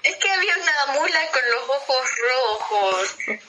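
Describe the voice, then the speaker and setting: A woman's voice: sits high, sing-song, moderate pace, noisy background. A young woman with an Argentine accent, co-hosting a radio show in a playful, casual, chatty way. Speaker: radio co-host. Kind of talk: casual chat.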